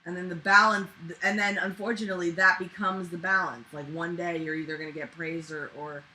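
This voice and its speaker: Very bright-voiced, exasperated woman. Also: on the low side, flat delivery, brisk, rough recording. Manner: exasperated, sarcastic, profane. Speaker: host reading a book aloud with commentary. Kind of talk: casual mocking read-aloud with running commentary.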